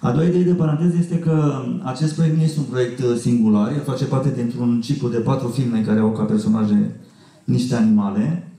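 A man's voice: mid-range voice, steady tone, warm tone. A middle-aged man addressing an audience informally from a festival stage, in a wry, digressive manner. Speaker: film director at festival Q&A. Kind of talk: informal spoken presentation to an audience. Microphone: handheld mic, heard from far camera. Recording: echoey hall, distant camera pickup.

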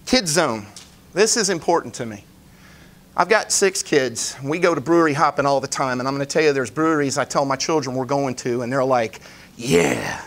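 A man in his forties presenting a business pitch live in a meeting room, plain-spoken, in short emphatic phrases. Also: brisk, fairly bright tone. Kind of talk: informal live presentation with slides. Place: indoor meeting room, standing at a lectern.

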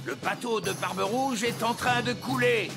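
An actor playing an old, commanding elf rescue leader in a French cartoon, authoritative and theatrical. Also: male voice, very high-pitched, a little slow, creaky, over music, heavily acted. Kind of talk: French cartoon dub acting.

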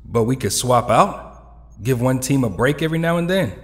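Male actor, casual young hero, medium pitch, eager, audio drama, hall reverb. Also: expressive, normal speed, natural voice. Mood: questioning.

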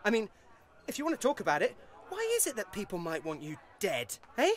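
A man's voice: high-pitched, huge pitch swings, brisk. An actor playing a nervy, blunt British man under suspicion, stammering and colloquial in a naturalistic audio drama. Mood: rattled, indignant.

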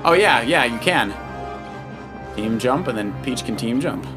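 A man's voice: medium pitch, animated, a little slow, music in the background. A man, middle-aged, giving casual let's play commentary in a playful, coaching way as a father guiding his child. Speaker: father guiding child through a video game.

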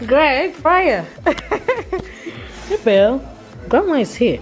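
A woman's voice: high-pitched, big pitch swings, drawn-out words. A young woman filming a family vlog at home and in a backyard, laughing often as she coaxes a toddler along. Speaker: family vlogger. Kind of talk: casual home vlog narration. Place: family house and backyard lawn. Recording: background music over the audio.

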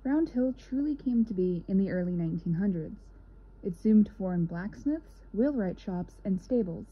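A woman's voice: mid-range voice, lively intonation, moderate pace, breathy edge. A young woman, a student narrator, reading a scripted history piece. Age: young adult. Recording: dull lo-fi recording.

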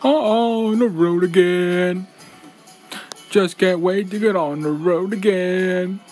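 Woman, amateur fandub, country show singing, lowish pitch, very unhurried, music behind. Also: a little mellow. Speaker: amateur fan dubber performing a bear stage revue.

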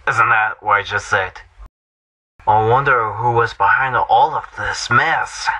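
Guy doing brash wisecracking cartoon superhero lines, really bright punchy voice, amateur voice acting. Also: lowish voice, a little slow, slightly rough. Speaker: amateur voice actor. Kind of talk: acted character voice clips for a fan cartoon.

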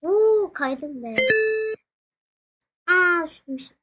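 A woman's voice: sits high, animated, very slow. A young female gaming host giving playful, casual commentary in a sing-song, exclaiming manner. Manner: sing-song, exclaiming, humming nonsense syllables. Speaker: young gaming video host playing alongside parent. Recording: very noisy home recording with clicks.

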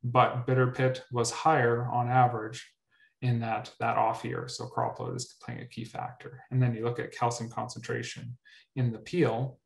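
Young male researcher, mid-pitch, webinar delivery, stumbles and repeats, roomy webcam sound. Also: little pitch movement, normal speed, creaky. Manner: repeats words often, many ums. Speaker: researcher presenting at a grower meetup. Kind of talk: webinar presentation. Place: indoor room. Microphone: webcam on video call. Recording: roomy echo.

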